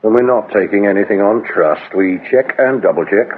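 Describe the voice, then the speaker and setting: An actor playing a sardonic, demanding police inspector in a British accent, with dry sarcasm and brisk questioning, in a radio drama. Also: male voice, on the low side, husky. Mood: brisk, bossy. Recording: tinny, band-limited radio recording, faint noise.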